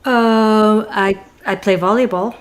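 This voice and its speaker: A woman's voice: mid-range voice, steady tone, takes it slowly, very noisy, close to an everyday voice. An actress playing a middle-aged single mother in a comedic audio drama, polite and awkward, speaking hesitantly and tactfully. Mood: awkward, apologetic.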